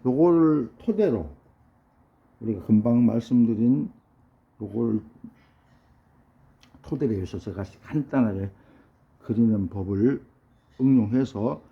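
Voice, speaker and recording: A hoarse, middle-aged man with a cold gives a measured ink-painting lesson while he paints. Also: medium pitch. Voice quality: gravelly. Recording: dull lo-fi sound with faint noise.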